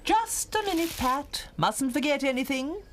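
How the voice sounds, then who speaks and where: Prim village lady, high lilting voice, kids cartoon, faint noise behind. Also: expressive, a little slow. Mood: prim, brisk. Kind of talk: children's cartoon character acting.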